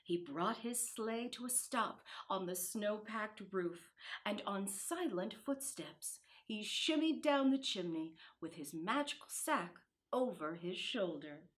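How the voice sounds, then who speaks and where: A woman storyteller reading a children's storybook expressively, with dramatic, deliberate phrasing that dips into hushed tones. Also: medium pitch, lively intonation.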